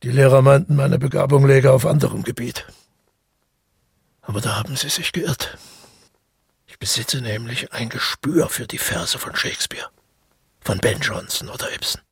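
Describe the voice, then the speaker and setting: A male actor playing a brooding, self-doubting former stage actor in a radio drama monologue, musing haltingly in a husky, breathy voice. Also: mid-range voice, dry recording, studio quality. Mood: musing pride. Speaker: narrator and lead of a radio play. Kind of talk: intimate first-person radio drama monologue.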